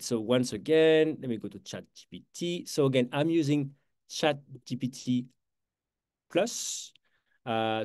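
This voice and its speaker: Fast-talking man with a French accent. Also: medium pitch, warm tone, clear, resonant tone. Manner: fast, chatty, self-correcting, with quick laughs. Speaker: workshop host. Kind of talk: live online workshop with screen share.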